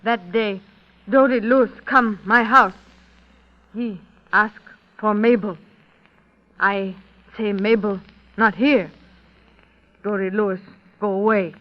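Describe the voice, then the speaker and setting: An actress playing a stubborn, solemn mother on the witness stand, speaking terse, halting broken English in an old radio drama. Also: medium pitch, fairly even intonation, lightly acted. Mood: stolid, halting. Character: stubborn, solemn Native woman, mother giving testimony. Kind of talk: 1930s radio drama acting. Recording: dull old radio recording.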